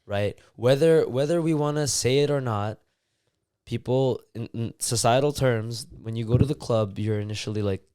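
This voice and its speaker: A man's voice: medium pitch, moderate pace, a little mellow, clean tone, dry recording, studio quality. A young man from Canada co-hosting a podcast, talking loosely with lots of fillers and casual swearing. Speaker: podcast co-host. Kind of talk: casual chat.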